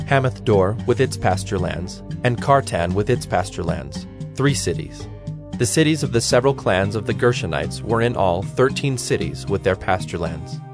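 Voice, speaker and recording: A man giving a measured, formal narration of scripture as the narrator of a dramatized audio production. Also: lowish voice. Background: instrumental music with plucked strings.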